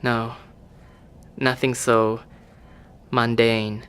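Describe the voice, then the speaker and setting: Audio drama role: male facility doctor, composed and grave, measured clinical delivery, mid pitch. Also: flat delivery, fairly bright tone, smooth. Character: calm, grave male facility doctor breaking bad news.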